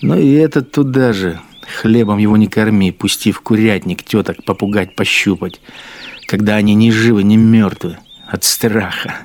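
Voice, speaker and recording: An actor playing a bitter, spiteful wartime machine-gunner in a radio drama, grumbling with sardonic scorn. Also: male voice, fairly low pitch, slight background noise, close to an everyday voice. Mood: scornful grumbling. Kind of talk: radio drama interior monologue.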